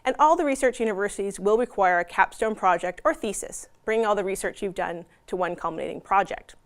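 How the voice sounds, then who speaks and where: A woman's voice: mid-range voice, fast. A woman from Canada with a Canadian accent, giving an informational presentation in a friendly, explanatory way with frequent ums. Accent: Canadian English. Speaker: university outreach presenter.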